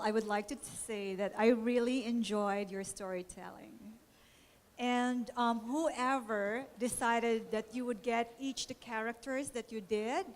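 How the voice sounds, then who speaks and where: A woman giving encouraging, measured, unscripted feedback as a contest judge. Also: a little high. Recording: reverberant hall, faint noise.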